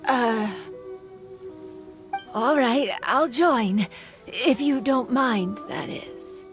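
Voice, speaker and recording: A woman voicing a shy, wistful young woman in an anime game, soft-spoken and hesitant. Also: fairly high pitch, lively intonation, extremely slow, over music.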